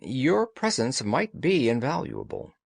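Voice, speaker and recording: A man voicing a commanding, analytical gentleman detective in an audiobook, speaking precisely and formally. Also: mid-range voice, wildly swooping pitch, measured pace, creaky, no room sound, studio quality, heavily acted. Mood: confiding, deductive. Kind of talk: audiobook character dialogue.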